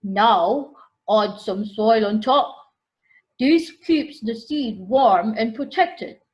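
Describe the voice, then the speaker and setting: A woman voicing a patient, friendly male farmer from a picture book, explaining with slow emphasis. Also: a little high, a little mellow, muffled recording. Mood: instructive.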